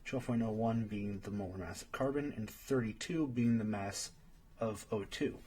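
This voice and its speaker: A man's voice: lowish voice, normal speed, darkish tone, breathy edge. A man playing a friendly young friend in an ASMR roleplay, patiently tutoring and thinking aloud in a hesitant way. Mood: encouraging, explaining. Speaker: study partner.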